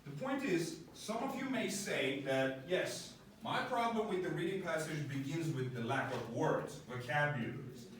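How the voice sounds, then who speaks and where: A man teaches an English class in a bright, animated voice, walking his students through each idea and often checking in with "right?". He sounds a little distant from the microphone, and the room gives back a strong echo with a faint hiss underneath. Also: a little high, moderate pace, few pauses. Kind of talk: classroom lecture.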